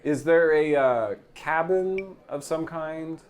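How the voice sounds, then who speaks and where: A man's voice: on the high side, slow pace, darkish tone. A man chatting casually as a player at a tabletop role-playing game.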